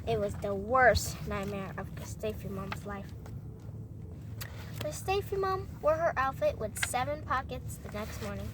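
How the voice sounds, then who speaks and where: Young girl reading aloud over loud car noise. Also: a little high, expressive. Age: child, almost 8. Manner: halting, stumbles over and repeats words. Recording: noisy moving car, distant mic. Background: car and road noise.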